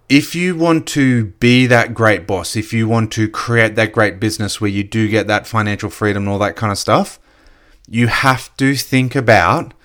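Male coach, lowish voice, a bit croaky, relaxed filler-heavy motivational talk. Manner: casual, filler-heavy, lots of "you know". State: slightly croaky voice. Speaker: network marketing coach. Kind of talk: motivational solo podcast talk.

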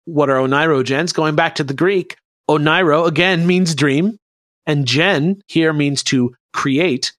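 A man's voice: fairly high pitch, a little slow, fairly bright tone, no room sound, clean studio sound. A man hosting a podcast, explaining things in a casual, rambling, conversational way. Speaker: podcast host presenting a researched topic.

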